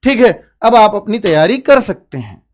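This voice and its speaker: High-pitched male coaching teacher. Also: sing-song, normal speed. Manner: instructive, asks questions and answers them himself; repeats points for emphasis. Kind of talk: informal explanatory talk to students.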